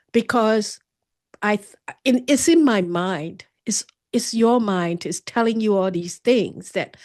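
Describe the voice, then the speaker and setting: A woman in her sixties from Singapore, a guest author telling her story earnestly, with frequent restarts and halting phrasing. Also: fairly high pitch. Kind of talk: candid personal storytelling.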